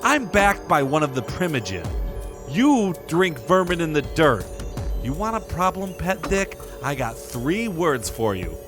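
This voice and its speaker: An actor playing a cocky, taunting vampire gang leader in an audio drama, mocking and theatrical. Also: male voice, on the high side, big pitch swings, unhurried, music in the background. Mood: hostile, mocking.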